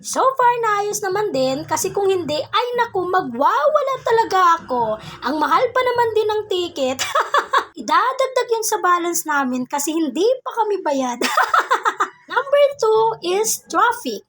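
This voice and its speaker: A woman's voice: extremely high pitch, sing-song, unhurried, fairly bright tone. A young woman with a Filipino accent narrating a casual vlog in a playful, giggly way, mixing in English phrases. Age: young adult. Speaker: vlogger.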